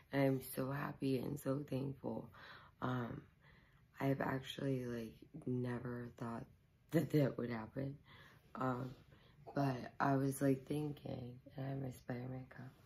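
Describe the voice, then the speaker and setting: A young woman, hoarse, chatting casually to her camera at home and rambling with many ums and likes. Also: rumbling low, a little mellow. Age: young adult. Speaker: YouTuber. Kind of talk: casual vlog chat to camera. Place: small living room at home, indoors.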